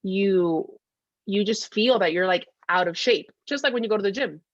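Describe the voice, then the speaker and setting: A woman's voice: mid-range voice, moderate pace, no room sound, highs cut off. A woman co-hosting a casual chat podcast, speaking in an upbeat, encouraging and emphatic way. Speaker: podcast co-host.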